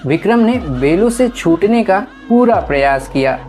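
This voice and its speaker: A woman's voice: medium pitch, animated, a little slow, darkish tone. A woman storyteller reading scripted horror story narration. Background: music.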